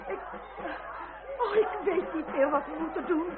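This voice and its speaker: Fretful high-voiced noblewoman, tinny recording. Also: normal speed, a loud crowd. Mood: distressed, fretful. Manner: theatrical, exclamatory, distressed. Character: aristocratic married lady, helpless, easily shocked and fretful. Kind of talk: old radio drama acting. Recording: tinny old radio recording, loud noise.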